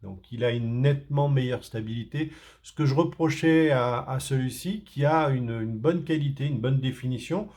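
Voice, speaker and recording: A man's voice: mid-range voice, normal speed, darkish tone. A man from France doing a casual unboxing, talking hesitantly with many fillers and restarts. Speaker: tech reviewer.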